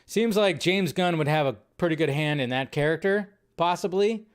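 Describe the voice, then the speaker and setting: A man's voice: a little high, moderate pace, clean tone. A man hosting a live stream, chatting casually and thinking aloud as he goes, with frequent restarts. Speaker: host.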